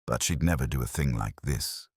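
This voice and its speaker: A man's voice: very deep voice, normal speed, darkish tone, husky, dry recording, studio quality, voice pushed down. A man voicing an indignant, blunt small-town woman cook in a storybook mystery. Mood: blunt, defensive.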